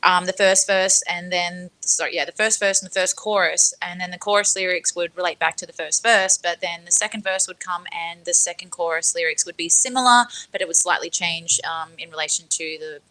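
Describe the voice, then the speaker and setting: A woman with a slightly low but bright, forward voice. She talks casually as a guest on a livestream panel, effusive and rambling as she shares her memories and enthusiasms. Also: fairly even intonation, fairly quick, hardly any pauses, low-quality recording. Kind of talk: casual chat, reminiscing.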